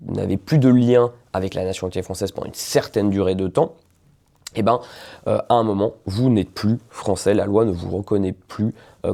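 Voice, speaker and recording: A man's voice: lowish voice, creaky, dark tone. A man, a lawyer, explaining a legal topic in an informal video, talking conversationally with frequent hesitations and check-ins with the listener. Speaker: lawyer explaining nationality law.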